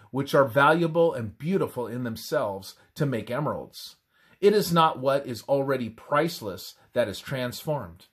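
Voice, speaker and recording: A man reads a scripted religious text aloud in a measured, deliberate manner, pausing between phrases. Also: mid-range voice, husky. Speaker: reader of a religious presentation. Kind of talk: scripted devotional narration, read aloud.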